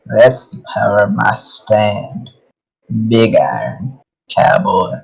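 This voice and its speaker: Smug cowboy gunslinger villain, performed by a male narrator, very slow, emphatic, drawn-out delivery for a comic story. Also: fairly low pitch, very animated, creaky, husky, exaggerated voice, rough recording. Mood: smug, swaggering.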